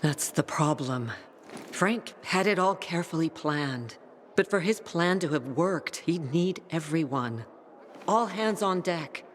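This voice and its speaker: A grave male officer of a ruined city's peacekeepers, with a fairly high-pitched voice. He is blunt and deliberate, in video game dialogue with light ambient noise behind him. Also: animated. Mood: somber.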